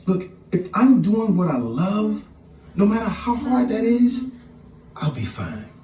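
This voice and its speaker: A woman's voice: medium pitch, very animated, takes it slowly, mellow, slight background noise. A woman voicing an earnest, passionate grown man in a storybook, with emphatic delivery. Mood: earnest resolve. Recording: roomy, distant sound with faint music.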